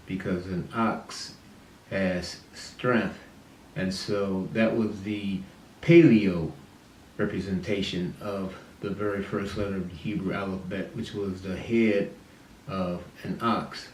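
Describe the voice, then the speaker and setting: A man hosting a religious teaching series, explaining in a deliberate way with short, halting phrases. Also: lowish voice. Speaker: host and teacher of a Bible and Hebrew series. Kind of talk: religious lesson on the Hebrew alphabet. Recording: roomy, distant mic with faint noise.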